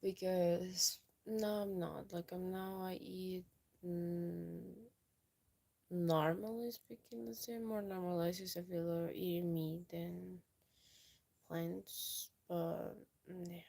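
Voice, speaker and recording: Young woman, low creaky voice for a rambling chat vlog. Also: little pitch movement, slow pace, a little mellow. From Peru. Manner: meandering, lots of fillers. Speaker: YouTuber.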